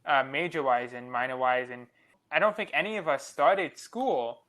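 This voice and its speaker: A young man co-hosting a podcast, earnest and reflective, speaking in quick run-on sentences. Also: mid-range voice, fairly bright tone. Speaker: podcast co-host. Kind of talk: casual podcast conversation.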